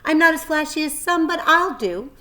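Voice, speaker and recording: A woman's voice: sits high, animated, normal speed, sharp tone, pitched above the performer's own voice. A woman voicing a clever, kindly female spider, articulate and matter-of-fact, with a pleasant thin voice. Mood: self-assured.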